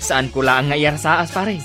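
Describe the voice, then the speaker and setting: Teasing drinking buddy. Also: male voice, fairly high pitch, a little slow, bright, forceful tone. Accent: Ilocano. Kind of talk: Ilocano radio comedy drama acting. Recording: music behind the voice.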